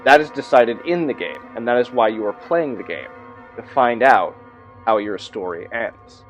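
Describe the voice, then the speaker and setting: A man presenting a tabletop roleplaying game, reading scripted text aloud with measured, storyteller-like delivery and adding his own commentary. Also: medium pitch, lively intonation, poor audio. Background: music behind the voice.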